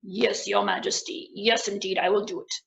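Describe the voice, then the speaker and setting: A woman's voice: medium pitch, flat delivery, moderate pace, vocal fry, a bit bright. A woman doing an earnest kind-hearted soldier. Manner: earnest and obliging. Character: kind, reluctant royal soldier. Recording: dull lo-fi recording.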